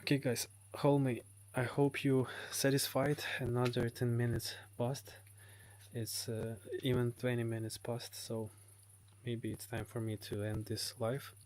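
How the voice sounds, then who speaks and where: A man's voice: medium pitch, flat delivery, a little slow, a little mellow. A Ukrainian man with a Ukrainian accent, hosting a live stream and answering chat comments in hesitant English full of fillers. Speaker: livestream host. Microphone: phone.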